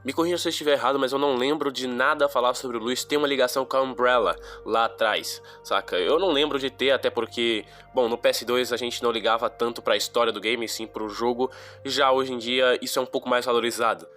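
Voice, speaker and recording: A man's voice: medium pitch, fairly even intonation, quick pace, a bit bright, faint music behind. A young man with a Brazilian accent, nasal and stuffed up from a cold, giving a slangy, rambling casual review of a game on his channel. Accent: Brazilian Portuguese. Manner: slangy, chatty, rambling asides. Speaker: gaming YouTuber.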